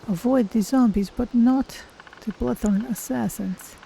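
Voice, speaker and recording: A woman plays a feline adventurer with a high, soft, mellow voice. She muses to herself in short phrases as she plays a fantasy game, with faint ambient noise behind her. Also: a little slow, vocal fry, rough recording. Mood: musing. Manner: musing, talks to self in short phrases. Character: female Khajiit cat-person adventurer, soft-spoken and musing. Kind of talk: in-character game stream commentary.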